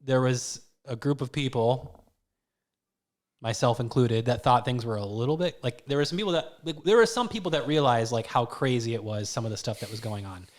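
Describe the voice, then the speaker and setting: A man's voice: medium pitch, a little fast. Nasal-sounding man chatting loosely. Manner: rambling, hesitant, many restarts. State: slightly nasal from a cold. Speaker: podcast guest, former host. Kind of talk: casual chat.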